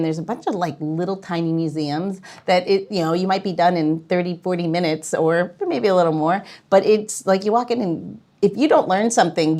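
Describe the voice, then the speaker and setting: A woman's voice: lowish voice, darkish tone. A woman hosting a casual podcast chat in an upbeat, enthusiastic manner. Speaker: podcast host.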